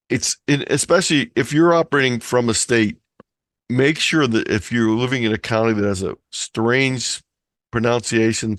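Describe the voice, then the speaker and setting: A man from Ohio talks through his points as an informal online presenter. His voice has an average pitch. The sound is close and dry, with little sense of space around it. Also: dry recording. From Ohio, United States. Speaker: presenter of an amateur radio talk. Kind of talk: informal explanatory presentation on a video call. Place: online video meeting.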